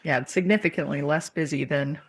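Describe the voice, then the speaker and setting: A woman's voice: deep voice, brisk, slightly rough, no room sound. A woman co-hosting a podcast in casual, chatty and enthusiastic conversation. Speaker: podcast co-host. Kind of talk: casual chat.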